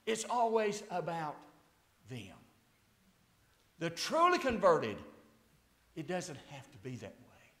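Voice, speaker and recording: A man in his sixties preaching a fiery sermon at a church pulpit, swinging from hushed tones to shouts. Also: mid-range voice. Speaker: pastor. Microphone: lapel mic, far camera. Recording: echoey church sanctuary.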